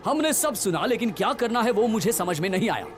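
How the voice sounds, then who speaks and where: A cartoon pirate captain, pitched high, bossy and full of himself. He blusters like a dim villain, with faint music behind. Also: big pitch swings, a little fast. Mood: commanding, baffled. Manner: commanding, self-important. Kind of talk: dubbed cartoon dialogue.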